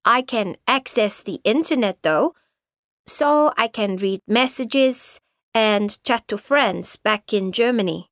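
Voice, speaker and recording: An actress reading a scripted listening exercise as a young German woman working in a gift shop, in a slow, clear, carefully paced delivery. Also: fairly high pitch, animated, no echo, clean studio sound. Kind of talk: scripted textbook listening monologue.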